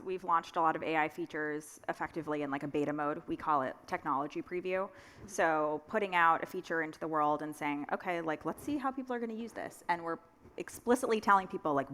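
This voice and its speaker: Female tech executive, panel discussion. Lowish pitch, casual phrasing, reverberant stage sound. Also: fairly bright tone. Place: on stage at a conference. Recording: echoey hall with long reverb.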